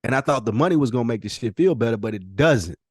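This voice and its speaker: A man's voice: medium pitch, expressive, fast talker, slightly rough, no room sound, clean studio sound. A man co-hosting a podcast, chatting casually in a reflective, slangy and profane way. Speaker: podcast co-host. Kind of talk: casual chat.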